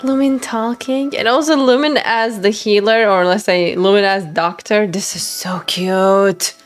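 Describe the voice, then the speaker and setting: A woman's voice: mid-range voice, steady tone, unhurried, soft background music. A woman streamer giving informal, gushing live reactions as she plays a game.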